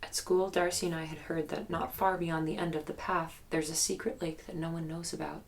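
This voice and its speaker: Casting: guarded young woman in investigative audio drama, very low register, fry-heavy, hesitant naturalistic storyteller carrying a haunted memory. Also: flat delivery. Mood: reflective. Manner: hesitant, reflective storytelling with pauses. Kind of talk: naturalistic documentary-style audio drama interview.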